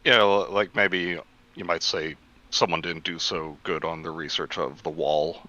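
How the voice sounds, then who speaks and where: A man's voice: low-pitched, steady tone, measured pace, pushed and bright. A man chatting casually as a guest commentator on an online voice call. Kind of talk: casual chat. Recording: slightly dull online voice call.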